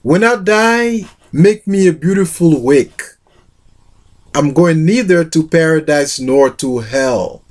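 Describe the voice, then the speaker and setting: Man talking really slow, lots of ums, kinda high voice, intro then reads a poem. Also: a little mellow. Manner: slow, halting speech with many fillers. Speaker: literature teacher. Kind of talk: introducing and reading poetry aloud.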